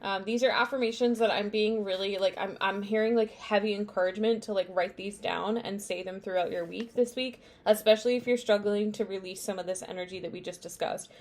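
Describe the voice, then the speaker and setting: A woman's voice: medium pitch, steady tone, fairly quick, hardly any pauses, a little mellow. A young woman giving a casual tarot card reading, chatty and meandering, with many fillers and asides. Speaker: tarot reader.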